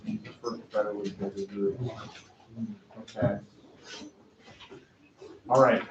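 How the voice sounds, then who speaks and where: A man teaching a math class, giving loud, direct, brisk instructions to his students. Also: fairly low pitch, steady tone, noisy background. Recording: echoey classroom, dull lo-fi sound.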